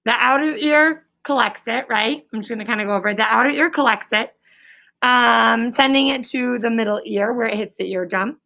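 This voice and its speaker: A woman's voice: on the high side, brisk, creaky, sharp tone. A woman teaching a class as a schoolteacher, explaining in simple phrases and prompting pupils with leading questions in an encouraging way.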